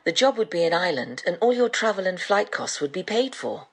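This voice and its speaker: A narrator's brisk talent agent, played by a woman, with a slightly low voice and a husky edge. The delivery is businesslike, for a storybook. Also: sing-song, exaggerated voice. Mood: brisk, informative. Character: businesslike adult voiceover agent phoning with news.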